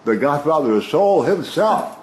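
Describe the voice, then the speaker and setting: A man from the Washington DC area in his sixties, a veteran radio broadcaster telling stories in a relaxed interview, often restarting his sentences. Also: a little high, moderate pace, slight background noise. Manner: warm reminiscing, frequent restarts and soft laughs.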